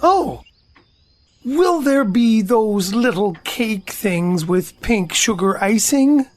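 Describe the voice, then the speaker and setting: A woman's voice: a little high, lively intonation, slow. An actor, a woman, playing a naive, self-important, food-loving bear in a children's audio drama, musing in a hesitant singsong. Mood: eager.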